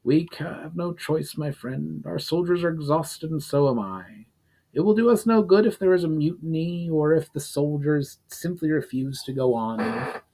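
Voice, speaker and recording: Tired old fantasy general, played by a male reader: slightly raised pitch, husky, reasoning. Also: normal speed, mellow, poor audio. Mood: weary resolve. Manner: weary, reasoning. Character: weary aged general, practical and gentle with friend. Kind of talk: dramatic fiction reading.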